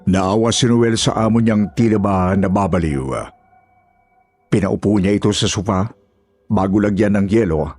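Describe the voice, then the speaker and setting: A man's voice: on the low side, normal speed, darkish tone, slightly rough, faint music behind. A man reading scripted, dramatic narration as a horror story narrator.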